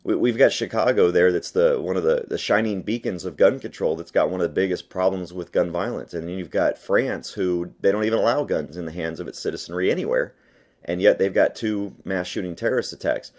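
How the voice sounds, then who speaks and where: A man's voice: low-pitched, fairly quick, darkish tone. A man being interviewed by phone, answering argumentatively in an emphatic, insistent manner. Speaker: interview guest, gun rights advocate. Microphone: phone call.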